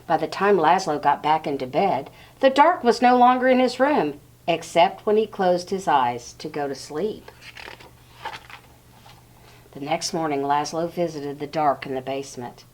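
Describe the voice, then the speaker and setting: A woman with a slightly low voice reads a picture book aloud for young children. She breaks the story into short phrases with pauses and addresses her listeners directly. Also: lively intonation, a little mellow, a little hiss or hum.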